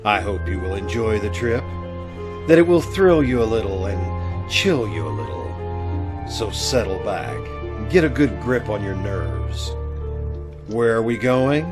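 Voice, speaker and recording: A man playing a sinister, teasing storyteller of eerie tales in an old-time radio horror play, slyly ominous and deliberate. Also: lowish voice, big pitch swings, music in the background. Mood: sly menace.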